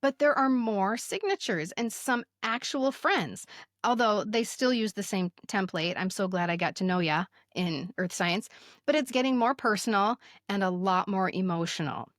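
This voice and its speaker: A middle-aged American woman co-hosting a podcast, chatting casually and reading aloud with expressive emphasis. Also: medium pitch, normal speed, no room sound, clean studio sound. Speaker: podcast co-host. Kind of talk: casual chat with animated reading aloud of old notes.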